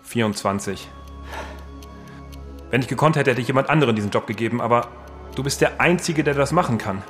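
A man's voice: medium pitch, a little fast, fairly bright tone, soft background music, close to an everyday voice. An actor playing a worried, hesitant, fatherly superior in a fantasy audio drama. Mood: worried, apologetic.

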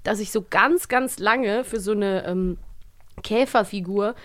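A woman chatting casually as a podcast co-host, telling things in a playful, animated way. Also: medium pitch, a little slow. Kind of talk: casual chat.

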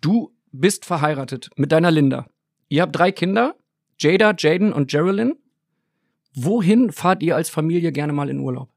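A man's voice: on the high side, lively intonation, vocal fry, dry recording, clean studio sound. Upbeat man hosting a podcast. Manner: upbeat, quick-talking host. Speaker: podcast host. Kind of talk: podcast intro and interview. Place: small press room in a stadium.

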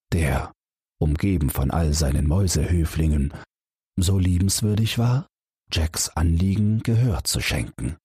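A man calmly reading a fairy tale aloud as a bedtime audiobook narrator. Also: rumbling low, slightly rough, dry recording, studio quality. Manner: calm, expressive storytelling.

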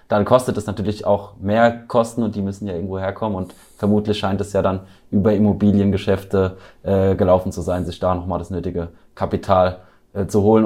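A man with a fairly low voice hosts an interview podcast. He speaks conversationally, as an interviewer talking with a guest. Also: a little mellow.

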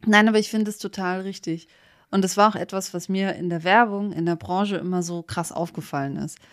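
A woman's voice: mid-range voice, steady tone, fairly quick, no echo. A woman co-hosting a podcast in casual, conversational discussion. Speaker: podcast co-host.

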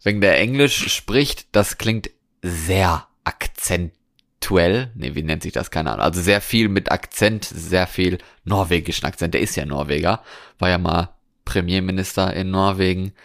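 A man's voice: low voice, unhurried, a bit bright, dry recording, clean studio sound. A man chatting casually as a podcast co-host, musing in a colloquial way. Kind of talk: casual chat.

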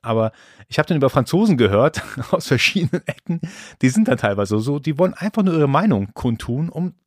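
A man's voice: mid-range voice, a little mellow, no echo, clean studio sound. A man born in Iran, hosting a solo podcast in a casual, rambling monologue with frequent restarts. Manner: chatty, rambling with restarts and fillers. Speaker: podcast host.

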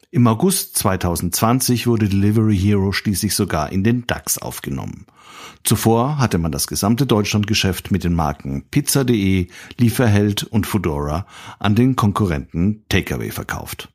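A man's voice: lowish voice, darkish tone. A man hosting an interview podcast, presenting and asking questions. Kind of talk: scripted introduction, then conversational interview questions.

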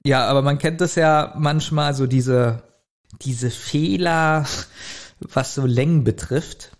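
A man's voice: on the high side, slow. A man, casually explaining things as a podcast host in an informal, conversational way. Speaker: podcast host reviewing audio dramas. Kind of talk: casual chat.

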